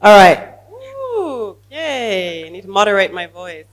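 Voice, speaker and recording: A middle-aged woman introducing herself on a live panel, with a loud, animated and chatty way of talking, speaking into a handheld mic at an event. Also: medium pitch, unhurried. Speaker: panelist, venture capital investor. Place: event stage with audience. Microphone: handheld microphone.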